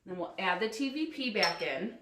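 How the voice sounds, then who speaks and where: A woman hosting a casual live cooking stream from her home kitchen, chatty and upbeat, with a loud teacher voice, picked up by a camera across the room. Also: medium pitch, animated, moderate pace. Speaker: cooking livestream host and coach. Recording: echoey kitchen with faint noise.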